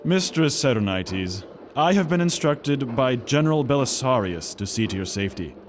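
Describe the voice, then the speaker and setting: Actor as a formal officer, noisy surroundings. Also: male voice, mid-range voice, expressive, normal speed, lightly acted. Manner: formal, stiff. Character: formal military officer on escort duty. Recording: audio drama with noisy outdoor ambience.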